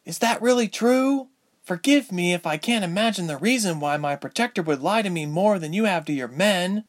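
A man's voice: high voice, expressive, a little slow, voice pushed up. A man voicing a defiant, bitter young woman in a fantasy story, terse and accusing. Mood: anguished anger.

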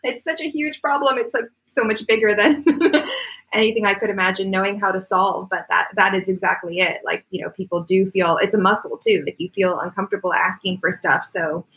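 A woman's voice: medium pitch, fairly even intonation, a little fast, a bit bright, rough recording. A woman with a North American accent talking casually as an interview guest, in a rambling, self-deprecating way. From Canada-born, grew up in Florida, United States. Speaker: interview guest, artist and climate activist.

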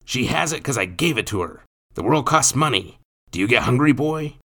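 A man voicing a rough, bullying, thieving stepfather in an audiobook, gruff and coarse in his grammar. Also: medium pitch, animated, moderate pace, a bit bright, voice pushed up. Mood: harsh, angry. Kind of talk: audiobook character voice.

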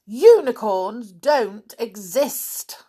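A woman voicing a stubborn, huffy little lady from a children's storybook, snappish and flatly contradicting. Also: mid-range voice, slow pace. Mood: huffy, dismissive.

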